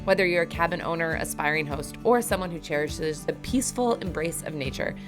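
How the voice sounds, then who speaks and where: A woman with a low, deep voice hosting a podcast. She reads her intro smoothly and then moves into lively, curious questions. Music plays clearly behind her. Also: fairly quick. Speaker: podcast host. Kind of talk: scripted intro, then conversational interviewing.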